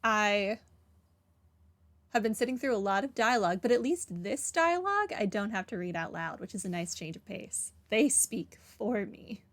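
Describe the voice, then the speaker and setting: A woman gives casual commentary on a game livestream. Her pitch is average for a woman, and her manner is dry and playfully sarcastic. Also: lively intonation, normal speed. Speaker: game streamer.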